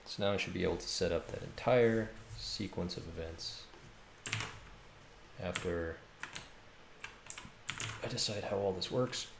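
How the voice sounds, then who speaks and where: A man's voice: low voice, unhurried, vocal fry, long pauses. A man talking casually to himself in short muttered fragments as he works at coding. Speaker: game developer streaming his coding. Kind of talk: thinking aloud while coding, casual. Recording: noisy, roomy home recording with keyboard clatter. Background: computer keyboard typing and clicks.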